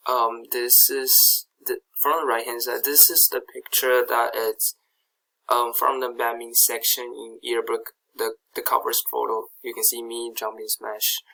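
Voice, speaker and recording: A man's voice: medium pitch, little pitch movement, a little slow, gravelly, bright, forceful tone, rough recording. A young man from Taiwan, a native Chinese speaker with a Taiwanese accent, giving a slide presentation in a hesitant way, with many fillers and restarts. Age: young adult. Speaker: student presenter.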